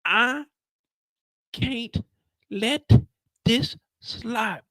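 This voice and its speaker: A man voicing an angry young woman bent on revenge, talking high and blunt. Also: very slow, bright, forceful tone, dry recording, full character voice. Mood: defiant.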